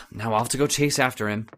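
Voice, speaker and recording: A man's voice: medium pitch, moderate pace, fairly bright tone, lightly acted. A man playing an irritable, scornful older husband haunted by memory, impatient and muttering, in old-time radio horror style. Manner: impatient, snapping, muttering broodingly.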